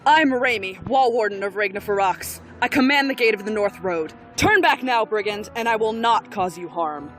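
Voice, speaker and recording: A woman's voice: mid-range voice, expressive, normal speed, hardly any pauses, a bit bright, soft background music, lightly acted. A woman playing a stern, battle-hardened fortress warden with a curt, commanding manner in a fan audio drama. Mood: stern warning.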